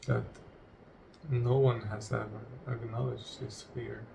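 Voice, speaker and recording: A man leading a guided meditation in a solemn, deliberate way, speaking in short, broken phrases. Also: medium pitch, little pitch movement, dark tone. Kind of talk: guided meditation, spoken slowly and reflectively. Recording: distant mic with clear background noise.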